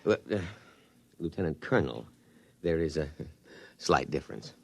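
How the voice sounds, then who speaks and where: An actor playing a pompous, ambitious middle-aged army colonel in an old radio drama, with clipped officious delivery. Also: male voice, very deep voice, animated, moderate pace, vocal fry, a little mellow, exaggerated voice. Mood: stiff. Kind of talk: radio drama acting with first-person narration. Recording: vintage radio drama recording with music.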